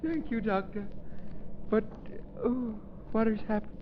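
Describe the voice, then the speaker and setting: A man's voice: high-pitched, measured pace, lo-fi sound. A man playing a dazed, weak professor, groggy and bewildered in 1930s radio drama style. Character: dazed, feeble male professor, just waking. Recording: thin, noisy 1930s radio recording.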